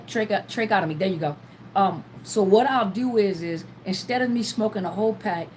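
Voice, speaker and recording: A woman's voice: mid-range voice, a little mellow, slight background noise, poor audio. A woman talking freely on a livestream as a therapist, with frequent fillers and restarts, sometimes acting out the inner voice of the person she describes. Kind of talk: unscripted livestream talk.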